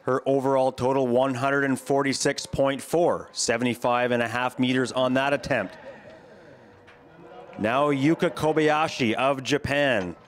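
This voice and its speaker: A man giving measured play-by-play commentary on a ski jumping competition, speaking as a voice-over. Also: mid-range voice. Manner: calm, measured play-by-play, lifting on big jumps. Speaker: sports commentator.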